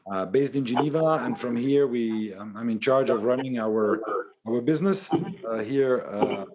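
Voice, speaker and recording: Male airline executive on a panel, repeats himself; mid pitch with a light hiss underneath. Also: darkish tone. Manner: halting, repeats words. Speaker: panelist, airline regional head. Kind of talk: online panel introduction.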